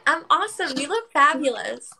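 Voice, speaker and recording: A woman in her twenties from Florida, chatting politely and brightly on a phone live stream. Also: high voice, big pitch swings, moderate pace, vocal fry, crowd noise. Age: 20s. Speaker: livestreamer. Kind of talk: casual live stream chat. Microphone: phone camera in a video split-screen.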